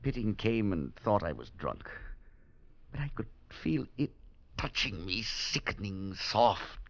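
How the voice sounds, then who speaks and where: An actor playing a haunted, terrified older professor in a 1940s radio drama, halting and breaking off his words in dread. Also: fairly low pitch, sing-song, vocal fry, fairly bright tone, exaggerated voice. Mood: shaken, dread. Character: haunted aging male professor, terrified and shaken. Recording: dull old radio broadcast.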